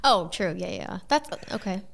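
A woman's voice: mid-range voice, animated, moderate pace, gravelly, a bit bright. A woman of about thirty-one chatting casually as an interview guest, exclamatory and slangy in her manner. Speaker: content creator, interview guest. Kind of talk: casual chat.

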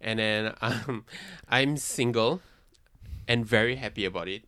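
A man's voice: lowish voice, unhurried, bright, forceful tone, clear, resonant tone. A man, chatting casually as a podcast host, swearing and giggling a lot. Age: 20s. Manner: giggly, swears often, switches into Malay words. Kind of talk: casual chat.

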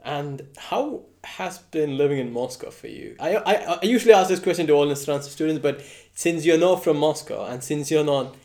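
A man's voice: a little high, expressive, brisk, few pauses, darkish tone. A young man casually interviewing someone, curious and inclined to explain his questions.

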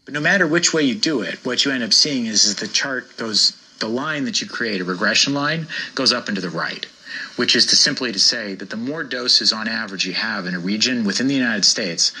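A man's voice: lowish voice, a little fast, pushed and bright, husky, poor audio. A man explaining statistics as an analyst, with some background noise behind him.

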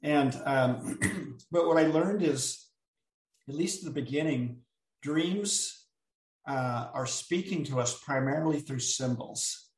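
A man who is an author, telling stories as a guest in an unhurried, thoughtful way with frequent filler pauses. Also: a little high. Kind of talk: reflective storytelling chat. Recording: roomy sound, distant from the mic.

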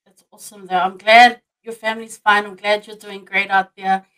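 A woman hosting a podcast interview, chatty and expressive, with lots of "like" and "you know". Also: mid-range voice, normal speed, clear, resonant tone, low-quality recording. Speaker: podcast host. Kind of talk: casual interview chat.

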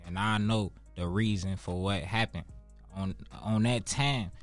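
A man in his twenties with a Chicago accent and a fairly low voice. He talks casually as an interview guest, leaning on street slang, swearing and repeating phrases to press his point. Faint music plays behind him. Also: a little slow. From Chicago, United States. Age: 20s. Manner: street slang, profane, emphatic repetition.

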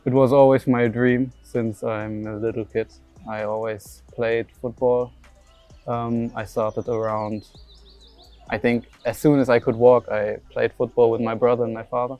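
A young man from Germany, a footballer, answering questions in a flat, even tone. He pauses often and fills gaps with ums and likes. Faint music plays behind him. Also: mid-range voice, dull audio. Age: young adult. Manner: hesitant, lots of fillers and restarts. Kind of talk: casual interview answers.

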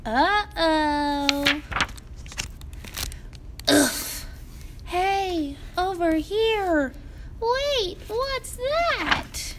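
A plucky, excitable little pig from a children's storybook, acted out by a woman. The voice is extremely high and very slow, with playful, exclamatory lines that leap up and down. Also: noisy background, heavily acted. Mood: excited, curious.

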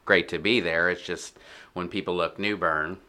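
A man's voice: sits low, steady tone, normal speed, bright, forceful tone. A man of forty, a real estate agent guest, giving brief, plainspoken answers in a casual interview. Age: 40s.

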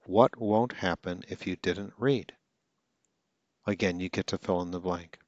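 A very deep-voiced man talks through a coaching podcast in an earnest way, with occasional stutters and restarts. The sound is dry and close, with a slightly dull, lo-fi quality. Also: a little slow, a little mellow, no echo. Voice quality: stutters now and then. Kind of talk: instructional podcast monologue.

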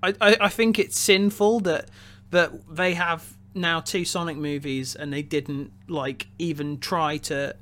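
High, bright-voiced man with halting delivery. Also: steady tone. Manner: halting, often repeating words. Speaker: podcast co-host reviewing a film. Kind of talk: casual opinionated discussion.

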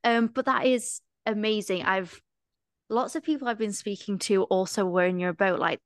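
A woman's voice: mid-range voice, normal speed, dry recording. Woman host, enthusiastic, dry close-miked sound. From East Midlands, England. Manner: upbeat, enthusiastic interviewer. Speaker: podcast host. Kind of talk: casual interview chat.

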